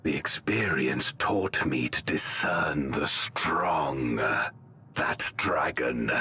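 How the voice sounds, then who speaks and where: An actor playing a grim, menacing dark lord in a fantasy video game, speaking gravely and theatrically with a gravelly, breathy voice. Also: male voice, very deep voice, slight background noise, heavily acted. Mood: solemn challenge. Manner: slow, grave, commanding, theatrical. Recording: loud game music over the voice.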